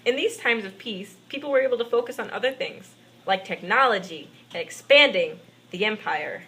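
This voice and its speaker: A woman's voice: mid-range voice, expressive, normal speed, sharp tone. A woman playing a patient puppet history teacher, delivering a scripted lesson to an unruly class in an amateur show. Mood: instructive. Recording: faint background noise, amateur recording.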